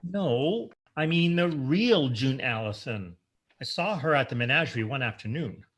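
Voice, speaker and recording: A man's voice: medium pitch, animated, moderate pace, fairly bright tone. A man playing a timid, dutiful grown son in a comic radio play, meek and earnest. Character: timid, dutiful grown son under his mother's thumb. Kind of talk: comic old-time radio play acting.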